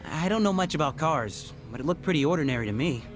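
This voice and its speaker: Young male innocent played by an actor, twenties, game cutscene; lighter register, animated, well-mannered and sincere. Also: fairly high pitch, fast talker, soft background music, natural voice. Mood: unsure. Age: 20s. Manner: polite, earnest. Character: polite, naive, grateful young man in his twenties. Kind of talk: video game cutscene dialogue.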